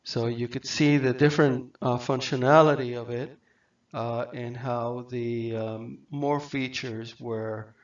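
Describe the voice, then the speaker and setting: A man giving a software training lecture over slides, halting often with fillers and restarts. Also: mid-range voice, fairly even intonation, far from the mic. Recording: dull lo-fi mic, set back.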